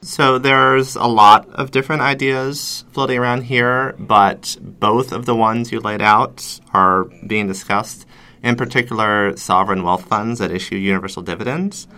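A man hosting a podcast, with a bright, forward tone and a fairly low pitch. He asks his questions in an inquisitive way, pausing and repeating words as he works through them. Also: fairly even intonation. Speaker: podcast host.

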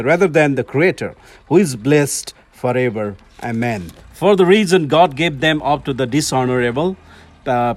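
A Nepali-accented man whose first language is Nepali reads scripture carefully, stumbling now and then over a word. His voice sits at a middle pitch. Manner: careful halting reading with restarts. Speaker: guest reader.

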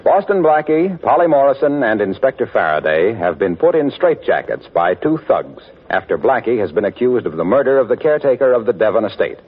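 A man's voice: mid-range voice, sing-song, fairly quick, hardly any pauses, slightly rough. A man working as a 1940s radio announcer, reading scripted copy in a folksy, persuasive style. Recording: tinny, narrow-band vintage radio recording.

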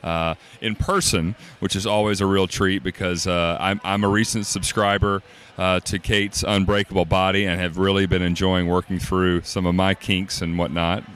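Low-voiced easygoing man at an expo. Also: flat delivery, normal speed, fairly bright tone. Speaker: guest, food business owner. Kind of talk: casual in-person interview. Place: expo hall floor. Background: crowd ambience.

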